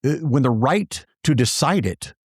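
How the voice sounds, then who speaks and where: A man's voice: mid-range voice, animated, a little slow, breathy edge, no room sound, studio quality. A man co-hosting a podcast, speaking thoughtfully and hesitantly, with many fillers and repeated words. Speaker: podcast co-host. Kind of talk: casual conversation.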